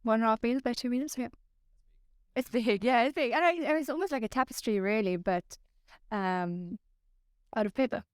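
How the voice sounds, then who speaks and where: A woman's voice: fairly high pitch, lively intonation, moderate pace, pauses often, dry recording, studio quality. A woman from South Africa, a visual artist, explaining her work with a giggly, hesitant manner. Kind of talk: casual chat.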